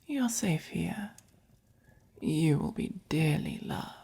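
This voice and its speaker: A woman voicing a gentle, possessive, doting vampire in a soft-spoken ASMR roleplay, with an English accent and breathy, whispery, coaxing delivery. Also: low-pitched, expressive, heavily acted. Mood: reassuring. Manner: slow, coaxing, gently commanding. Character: gentle, possessive, doting dominant vampire keeping a human pet.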